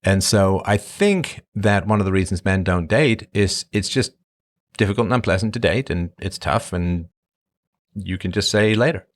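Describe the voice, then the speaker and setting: A middle-aged man from Ireland and England, rambling casually as a host answering his live chat. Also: normal speed. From born in Ireland, grew up in England. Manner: rambling, conversational, emphatic swings in pitch. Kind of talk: casual monologue.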